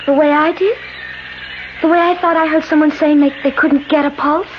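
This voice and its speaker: An actress playing a frightened but determined woman caught between life and death in a 1970s radio drama, breathless and halting, repeating herself. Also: high-pitched, fairly even intonation, close to an everyday voice. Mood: anxious. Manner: breathless, pleading, halting and repetitive. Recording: noisy, dull 1970s radio broadcast.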